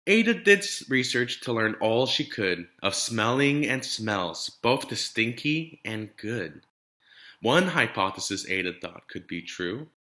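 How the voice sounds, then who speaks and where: Animated man reading a children's storybook. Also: mid-range voice. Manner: deliberate, dramatic storytelling with emphasized rhymes. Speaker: elementary teacher reading to students. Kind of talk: expressive children's picture-book read-aloud.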